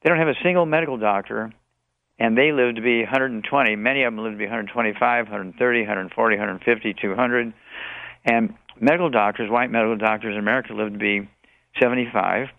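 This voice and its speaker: A man in his eighties with a creaky voice over a narrow phone line. He is a radio guest promoting health remedies, rambling along in repetitive, list-like answers. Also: on the low side, dry recording. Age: 80s. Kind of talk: radio call-in question and answer.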